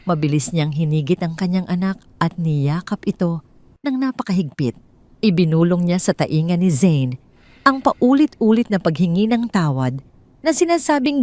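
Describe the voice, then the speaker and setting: Woman, Filipino accent, slightly low pitch, solemn story narrator, deliberate dramatic phrasing. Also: darkish tone, dry recording.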